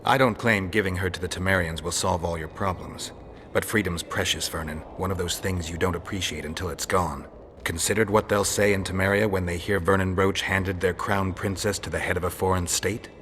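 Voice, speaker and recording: A male actor playing a calm, cynical, world-weary monster hunter in a video game, talking in a measured, deadpan, wry way. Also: low-pitched, a little hiss or hum. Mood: measured persuasion. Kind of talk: dramatic video game acting.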